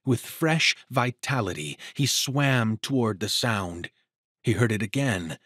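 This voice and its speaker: A man narrating a dramatic story from a script in a measured, literary and expressive manner. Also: medium pitch, dry recording, studio quality.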